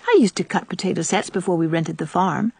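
A woman voicing a gentle, thoughtful young woman in an audiobook. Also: on the low side, a little fast, creaky, husky, no echo. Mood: mild. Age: young adult. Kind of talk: audiobook character voice.

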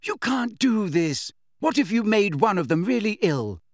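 A bossy, righteous schoolgirl in her teens, acted out by a male storybook reader. The voice is pitched up, forceful and scolding, with lively swings. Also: moderate pace, husky, dry recording, clean studio sound, exaggerated voice. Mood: indignant, scolding. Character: bossy indignant teenage schoolgirl, righteous and stern.

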